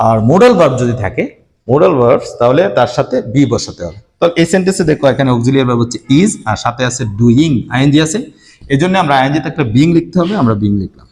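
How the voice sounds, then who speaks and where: A man's voice: medium pitch, lively intonation, rapid-fire, darkish tone. Man teaching grammar step by step. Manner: explains step by step, addresses students directly. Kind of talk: whiteboard grammar lesson.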